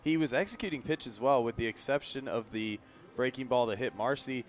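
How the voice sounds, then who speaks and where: A man's voice: medium pitch, a little fast. A man working as a color commentator, giving conversational analysis during a live baseball broadcast.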